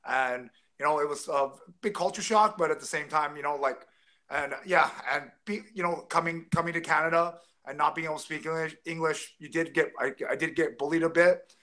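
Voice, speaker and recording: A man's voice: fairly high pitch, a little fast, sharp tone. A man in his forties from Taiwan, telling stories as an interview guest over a video call, rambling with many restarts and fillers. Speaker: guest, former fighter. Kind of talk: casual interview storytelling. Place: home room with framed jersey. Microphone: video call webcam close up.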